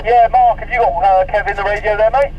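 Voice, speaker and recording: A man's voice: high-pitched, steady tone, a little fast. A man chatting casually over CB radio in a matey, informal way. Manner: matey, informal, acknowledging signals. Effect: CB radio transmission. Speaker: CB radio operator. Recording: muffled distant CB radio, faint noise.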